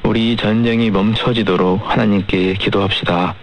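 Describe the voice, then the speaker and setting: Low, gravelly man on shortwave radio. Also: steady tone, moderate pace. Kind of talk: measured radio discussion. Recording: faintly noisy shortwave radio broadcast.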